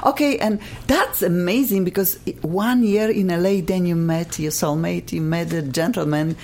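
A woman's voice: fairly low pitch, normal speed, darkish tone. A woman with a Polish accent hosting an interview in a studio, gushing with praise for her guests. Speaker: radio show host. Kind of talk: interview chat.

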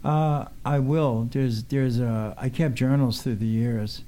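Mellow-voiced older man from Brooklyn, reflective. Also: medium pitch, fairly even intonation, takes it slowly, a little hiss or hum. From Brooklyn, New York. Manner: reflective, rambling. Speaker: studio guest, musician. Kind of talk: casual interview chat.

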